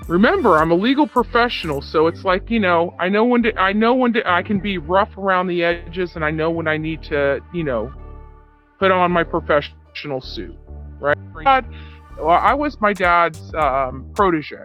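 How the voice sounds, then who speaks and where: A woman's voice: fairly low pitch, expressive, normal speed, dull audio, soft background music. A woman giving a rambling, unscripted true crime commentary in a self-assured, boastful, argumentative way.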